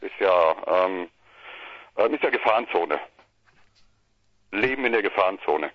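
A man's voice: deep voice, expressive, a little slow, no room sound. A middle-aged man from southern Germany, a musician chatting casually in a phone interview and rambling with many restarts. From Bavaria/Swabia, Germany. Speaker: musician guest. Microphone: phone line. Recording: muffled phone line, dry.

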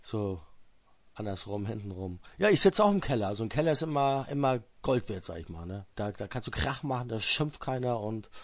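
A man's voice: fairly low pitch, very animated, fairly quick. A man hosting a casual radio phone interview in a chummy, slangy, colloquial way. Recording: dull lo-fi radio sound.

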